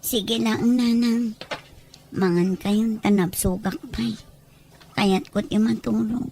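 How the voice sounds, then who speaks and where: A woman's voice: medium pitch, steady tone, slow pace, warm tone, slight background noise. A woman with a Filipino accent playing a troubled, withdrawn grown child who curtly refuses care in a radio-style drama. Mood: listless refusal.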